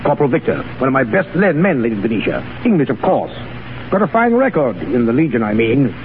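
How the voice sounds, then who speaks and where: An actor playing a gruff, hearty, condescending military commandant in 1930s radio style. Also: male voice, medium pitch, very animated, quick pace. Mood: bluff, condescending. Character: bluff, jovial, condescending Legion commandant. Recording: tinny, noisy 1930s radio recording.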